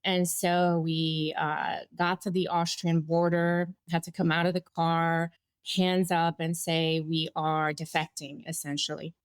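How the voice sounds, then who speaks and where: Candid woman in her forties, low-voiced. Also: flat delivery, unhurried, clear, resonant tone, no room sound. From Bulgaria. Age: 40s. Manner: reflective, candid, with small laughs and restarts. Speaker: guest. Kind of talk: personal storytelling interview.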